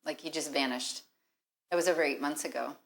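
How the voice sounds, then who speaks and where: An earnest woman with a slightly low voice, a librarian in a found-footage mystery game. She speaks articulately and with measured care, like someone who takes every detail seriously. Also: vocal fry, fairly bright tone, exaggerated voice. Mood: grave, intent. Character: earnest librarian woman investigating a sinister kids' show.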